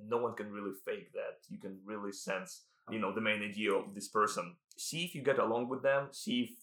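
Bright-voiced man, echoey room. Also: lowish voice, steady tone, fairly quick. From Russia. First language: Russian. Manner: chatty and explanatory, laughs easily. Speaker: real estate agent, guest. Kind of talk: podcast interview.

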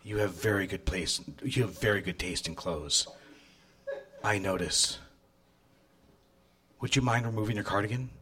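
An actor playing a controlling, smooth-talking boss who courts his employee in a deliberate, coaxing way, in a live radio drama. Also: lowish voice, sharp tone.

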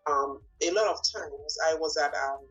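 A Nigerian-accented woman with a very husky, breathy voice, pitched somewhat low. English is not her first language, so she answers interview questions with fillers and restarts as she hunts for the right words. Faint music sits behind her. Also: moderate pace, fairly bright tone, muffled recording. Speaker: guest, lawyer and business owner.

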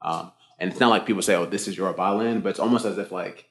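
A young man with a low voice talks quickly and easily as an interview guest. He rambles comfortably, with plenty of "like" and "you know" and the odd restart. It is relaxed, everyday conversation. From United States (born Boston, raised Florida). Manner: relaxed, talkative, many fillers and self-restarts. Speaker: guest, fitness coach.

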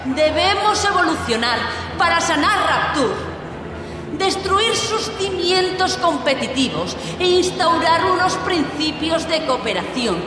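A woman's voice: very high voice, sing-song, slow, background noise, exaggerated voice. A woman playing a fiery revolutionary leader in an audio drama, giving a declamatory, rousing political speech to workers. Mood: impassioned, defiant. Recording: echoing large hall, loud music underneath.

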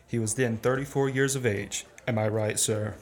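A man's voice: lowish voice, fairly even intonation, normal speed, natural voice. A young man playing a proud music-hall memory performer in a radio play, reciting facts in a formal, precise manner. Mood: proud, exact. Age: young adult. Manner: formal recitation, crisply factual. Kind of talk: stagey radio play acting.

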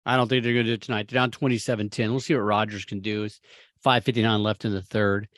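An American man co-hosting a casual sports chat, speaking in opinionated, rambling and animated bursts. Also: lowish voice, quick pace, dry recording, studio quality. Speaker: sports talk co-host.

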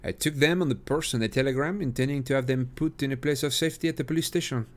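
A man reading aloud and voicing a male police inspector in a steady, matter-of-fact way. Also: medium pitch, fast, voice pushed up, natural voice. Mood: defensive.

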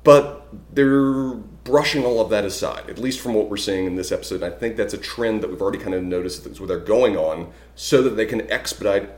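A man's voice: fairly low pitch, fairly quick, few pauses, a little mellow, echoey room. A man co-hosting a casual podcast that recaps a TV show, making dry, wry quips. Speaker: podcast co-host.

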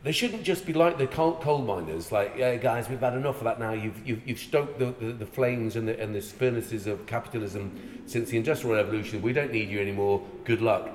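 Irish-born man hosting a podcast, mid-pitched, pushy and jokey; roomy echo. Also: a little fast, hardly any pauses. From Ireland. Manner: forceful, interrupting, talks over his guest with jokes and strong opinions. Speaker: podcast host. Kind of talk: casual conversation. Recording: echoey room with long reverb.

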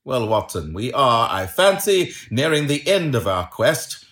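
A confident Victorian detective from a storybook, voiced by a male narrator. He speaks with courteous precision and easy authority, his mid-pitched voice moving in lively swings. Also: measured pace. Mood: keen, thoughtful. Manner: assured, polite, precise.

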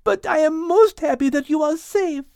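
A woman's voice: high-pitched, moderate pace, darkish tone, no echo, clean studio sound, pitched above the performer's own voice. A woman voicing a sweet, gracious female cat, speaking in a gushing, sing-song way. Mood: joyful.

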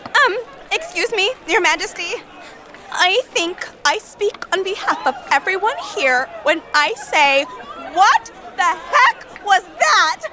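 Dramatic young noblewoman, audio fairytale: indignant, piercingly high, swooping pitch, crowd and music behind. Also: big pitch swings, slow, exaggerated voice. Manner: melodramatic.

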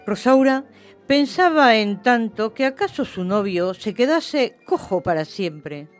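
A woman narrating a literary radio play in an expressive storytelling style. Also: a little high, measured pace. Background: music.

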